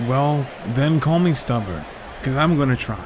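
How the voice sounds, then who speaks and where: A man's voice: medium pitch, animated, normal speed, background noise, natural voice. A man acting a friendly, stubborn young ninja in an anime-style audio drama, with a casual, questioning, conversational delivery. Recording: music behind the voice.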